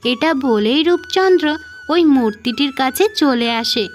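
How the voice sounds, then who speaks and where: A woman with a high voice narrates a fairy tale. The delivery is scripted storytelling for a cartoon, with faint music playing underneath. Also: animated, measured pace. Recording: music behind the voice.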